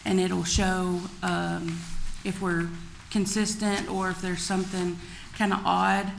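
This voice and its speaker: A woman's voice: lowish voice, level tone, slow, creaky. A woman presenting an informal report as a finance officer at a public meeting. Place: indoor public meeting room. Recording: echoey meeting room, faint background noise.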